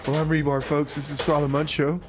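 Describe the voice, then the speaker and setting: A man's voice: on the high side, little pitch movement, brisk. Casual male radio host, faint background noise. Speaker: community radio music show host. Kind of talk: casual radio hosting with comic banter. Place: radio studio.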